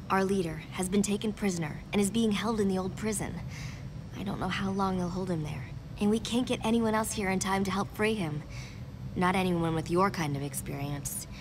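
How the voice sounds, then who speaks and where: A woman's voice: lowish voice, noisy background, close to an everyday voice. An actress playing a guarded, resolute resistance operative in a video game, serious and secretive as she gives instructions. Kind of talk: video game cutscene acting.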